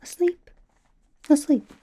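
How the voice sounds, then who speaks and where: A woman playing a chatty, suspicious young superheroine in a soft, breathy ASMR whisper, rambling through a playful interrogation. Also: slow, lightly acted. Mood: curious, probing. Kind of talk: ASMR roleplay, mostly whispered personal attention.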